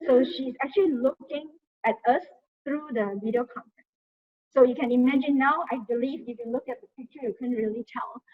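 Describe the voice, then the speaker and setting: A soft-spoken woman chatting casually as a guest speaker at a study group, often saying "you know". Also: high-pitched, a little fast. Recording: tinny phone-like sound.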